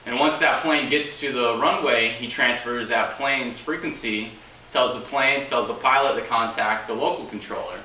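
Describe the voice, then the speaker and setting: A man's voice: medium pitch, moderate pace, pushed and bright. A young man giving an informal informational speech as a student, recorded indoors by a camera a few meters away. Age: young adult. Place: indoors in a home room. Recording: roomy, distant mic, faint noise.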